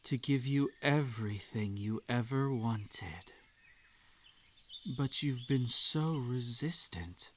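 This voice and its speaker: A man's voice: mid-range voice, slight background noise. A man voicing an obsessive magical bunny in a hypnotic audio roleplay, coaxing and soothing with a desperate edge. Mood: coaxing, possessive. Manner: slow, soothing, coaxing delivery. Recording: nature ambience behind the voice.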